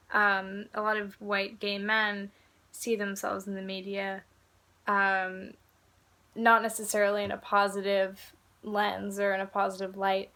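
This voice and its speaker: A teenage girl speaks deliberately, working out her thoughts aloud. Her voice is fairly flat and stays at a middle pitch. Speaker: interviewee. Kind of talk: casual talk.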